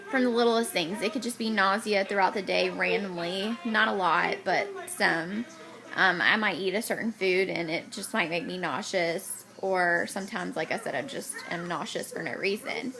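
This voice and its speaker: A woman's voice: mid-range voice, gravelly. A woman in her twenties chatting casually to the camera, rambling with many ums. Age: 20s. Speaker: vlogger.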